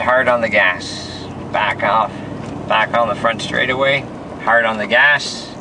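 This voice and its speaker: A man in his forties, a race car driver, talking through a lap in short clipped instructions from inside a moving car. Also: mid-range voice. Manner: terse, clipped driving instructions. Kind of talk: lap walkthrough commentary. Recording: distant mic with road noise.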